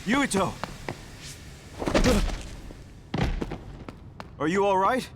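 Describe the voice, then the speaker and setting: A man's voice: sits high, lively intonation, fairly quick, over loud music. An actor playing a protective adult male major general in a video game, with a firm, authoritative manner. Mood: urgent concern. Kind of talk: scripted video game cutscene acting.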